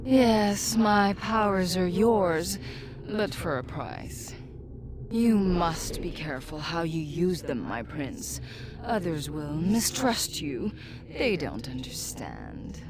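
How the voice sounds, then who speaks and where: A possessive demoness from a fantasy game, sultry and sly. The voice sits fairly low and moves slowly, coaxing and teasing, over heavy ambient noise. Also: female voice, expressive. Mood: coaxing, warning. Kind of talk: dramatic fantasy game acting.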